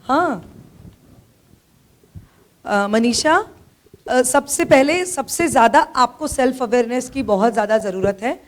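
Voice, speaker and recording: Slow, high-voiced woman teacher, sore throat. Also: lively intonation. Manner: firm, scolds listeners for talking. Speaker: teacher giving a talk to students. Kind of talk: classroom lecture with slides, mixing Hindi and English.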